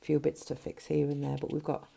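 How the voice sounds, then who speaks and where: A middle-aged woman with a gruff voice, chatting casually as a budgeting vlogger while counting money at a table at home. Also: low-pitched, monotone, fast, dark tone. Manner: chatty, counting notes under her breath. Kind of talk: casual cash-stuffing vlog narration. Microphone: overhead camera framing hands.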